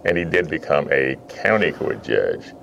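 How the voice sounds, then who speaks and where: A man's voice: extremely low pitch, very animated, normal speed, gravelly, fairly bright tone, lo-fi sound, slight background noise. A man interviewing a guest, asking careful clarifying questions. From Houston, Texas. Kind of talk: oral history interview.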